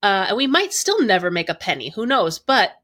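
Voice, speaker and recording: A woman's voice: medium pitch, big pitch swings, brisk, a bit bright. A woman co-hosting a podcast, talking candidly and laughing at herself in casual chat. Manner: candid, giggly, self-deprecating. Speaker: podcast co-host.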